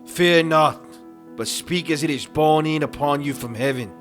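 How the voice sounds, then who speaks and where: A proud, defiant warrior hero acted by a man from an epic audiobook drama. His voice is fairly high for a man, and he delivers bold, indignant oratory. Music plays beneath him. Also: moderate pace, natural voice. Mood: resolute. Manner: declamatory, forceful oratory.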